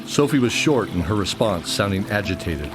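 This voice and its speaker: Male narrator, very low register, scripted fantasy radio play over clear music bed. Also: big pitch swings, moderate pace.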